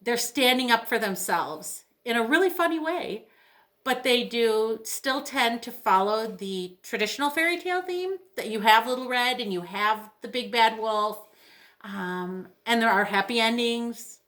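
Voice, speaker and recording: Bright, higher-voiced middle-aged woman, chatty. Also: lively intonation, unhurried. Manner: chatty, enthusiastic. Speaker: librarian presenting book recommendations. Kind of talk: casual book talk, recommending books.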